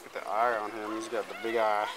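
Man chatting over loud river noise. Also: on the low side, little pitch movement, quick pace. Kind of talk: casual chat while fishing. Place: small boat on a river, outdoors. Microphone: handheld camera, a short distance away. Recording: very noisy outdoor camera audio. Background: loud water and boat noise.

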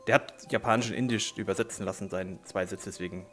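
A man's voice: deep voice, steady tone, fast, a bit bright. Rambling German man chatting over game music. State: slight cold. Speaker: gaming video host. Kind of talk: casual chat while gaming. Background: video game music.

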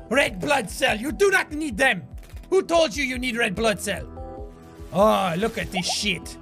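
Mad quack doctor, mock German accent, high voice, wildly swooping pitch, boastful, sweary, game commentary. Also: male voice, bright, forceful tone, full character voice. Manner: theatrical, boastful, swearing, erratic outbursts. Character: manic, cocky, foul-mouthed quack male surgeon with foreign accent. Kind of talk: in-character comedic video game commentary.

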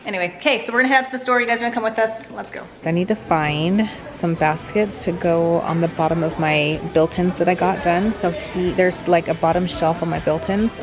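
A woman's voice: lowish voice, fairly quick, music in the background. A middle-aged American woman chatting casually to viewers, informal and thinking aloud as she goes. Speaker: family vlogger.

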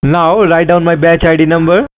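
A man with a fairly high voice delivers scripted instructions in a formal, insistent way. He comes through a thin, tinny phone line. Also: little pitch movement, moderate pace. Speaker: scam caller posing as an officer.

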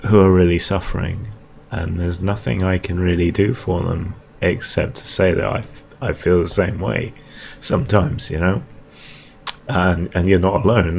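A man talking alone in a weary, halting monologue with frequent ums and restarts, reacting to a debate for his video channel. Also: low-pitched, creaky, slight background noise. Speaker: video blogger.

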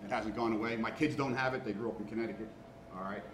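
A man's voice: lowish voice, steady tone, fast talker. A man in his fifties with a Queens, New York accent, giving a casual talk to students as a guest engineer and checking in often with the room. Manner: conversational, keeps checking in with "all right?" and "okay?". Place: large classroom, indoors. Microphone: far room camera. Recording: echoey classroom from far off, noisy.

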